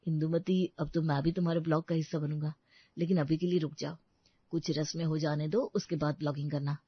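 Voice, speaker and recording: A woman voicing a quiet young husband in a cartoon story, restrained and brief. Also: low-pitched, flat delivery, a little fast, breathy edge, no room sound, pitched below the performer's own voice. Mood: gentle. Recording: dull dry recording.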